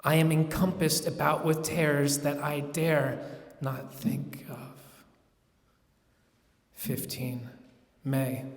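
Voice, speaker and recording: A man giving a dramatic, deliberate live reading of gothic horror, drawing out words and moving between hushed and forceful delivery. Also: a little high. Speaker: reader at a live public reading. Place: large echoey room at a live museum event. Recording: echoey hall with long reverb.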